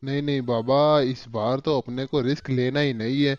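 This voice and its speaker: A man's voice: medium pitch, steady tone, moderate pace, a little mellow, no room sound, dull audio, natural voice. A man voicing a timid, henpecked elderly owl uncle in a children's cartoon, avuncular and fretful. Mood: nervous. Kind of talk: Hindi cartoon voice acting for children.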